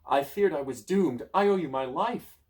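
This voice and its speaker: A man voicing a gentle, polite male winged horse while reading a storybook. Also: medium pitch, animated, normal speed, lightly acted.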